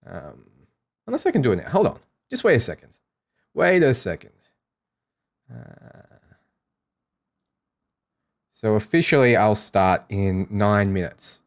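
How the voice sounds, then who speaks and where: Low-voiced Australian man streaming casually. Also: sing-song, a little slow, creaky, pauses often. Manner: informal, thinking aloud. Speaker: live streamer and host. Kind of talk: casual livestream chat.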